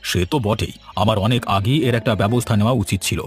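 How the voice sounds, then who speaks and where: A man's voice: lowish voice, fast talker, faint music behind, lightly acted. An actor playing a mild, self-excusing village headman in a cartoon. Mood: rueful. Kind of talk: cartoon voice acting.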